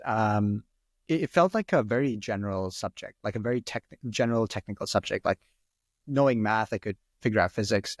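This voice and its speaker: Young man, low gravelly voice, dry sound. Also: no room sound. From Sri Lanka. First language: Arabic. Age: 20s. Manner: rambling, heavy use of "like" and "um". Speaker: guest. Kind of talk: casual podcast interview.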